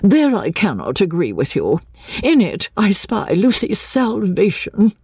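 A woman's voice: mid-range voice, huge pitch swings, a little slow, a little mellow, husky, dry recording, studio quality, pitched above the performer's own voice, exaggerated voice. A woman reading a storybook as a prim, fretful spinster cousin, martyred, secretive and intense. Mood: prim, aggrieved. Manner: evasive, intense, self-pitying, sometimes dropping to an urgent whisper.

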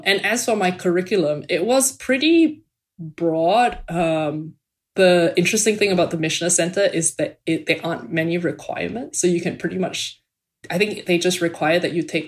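Chatty woman author. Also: deep voice. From Singapore. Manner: chatty, many "like" and "um" fillers. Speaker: author guest. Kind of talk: casual interview conversation.

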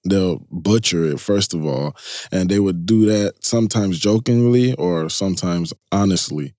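A man's voice: sits low, a little slow, warm tone, dry recording, clean studio sound. A man from Chicago who spent part of his upbringing in Nigeria, speaking conversationally and emphatically as an interview guest, explaining as he goes. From Chicago, United States, partly raised in Nigeria.

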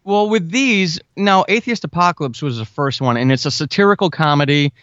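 A man's voice: a little high, animated, a little fast, a bit bright, no echo, highs cut off. A man chatting informally and earnestly as an interview guest, full of enthusiasm for his craft. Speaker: interview guest, audio drama writer. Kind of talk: casual chat.

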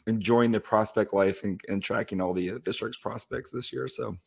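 Raspy man with a low voice. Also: steady tone, moderate pace. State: raspy, partly lost voice. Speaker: podcast guest. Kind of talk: casual chat.

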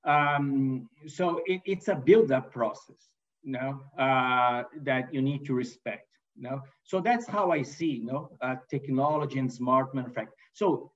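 A man's voice: medium pitch, unhurried, recorded from a distance. Brazilian-accented man on a muffled remote call. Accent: Brazilian Portuguese. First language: Portuguese. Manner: hesitant, many fillers and restarts, frequent "you know". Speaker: manufacturing executive guest. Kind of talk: conversational interview answers.

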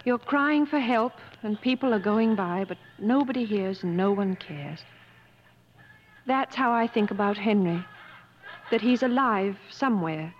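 A woman's voice: medium pitch, lively intonation, measured pace, natural voice. An actress playing an anguished, proper wife searching for her missing husband in a 1950s radio drama, earnest and emotional. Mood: haunted, sorrowful. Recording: dull vintage 1950s radio broadcast.

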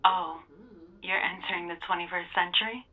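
A woman's voice: on the low side, steady tone, moderate pace, close to an everyday voice. A woman playing a teasing, scheming lover in an audio drama, heard over a thin phone line.

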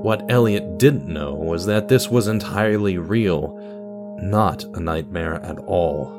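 A man's voice: deep voice, unhurried. A man reading scripted material as a radio theatre narrator and announcer, with guitar music behind him. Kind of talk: scripted dramatic story narration.

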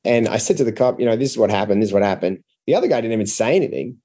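Man, Australian accent, dark mellow voice, casual storyteller, podcast guest, acts out quotes. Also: lowish voice, animated, very fast, slightly rough.

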